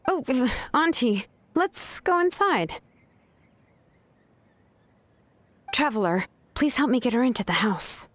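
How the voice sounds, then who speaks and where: A woman's voice: high-pitched, big pitch swings, moderate pace, a bit bright, heavily acted. A woman playing a laid-back, considerate young craftswoman in a video game, talking in a casual, explanatory way. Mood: caring. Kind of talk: video game character acting. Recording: game music behind the voice.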